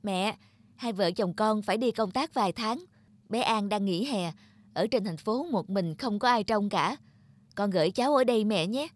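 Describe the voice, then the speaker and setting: A woman playing a brisk young city mother in an animated folk tale, talking in a coaxing way. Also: mid-range voice, no room sound, natural voice. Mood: brisk, instructing. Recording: music under the voice.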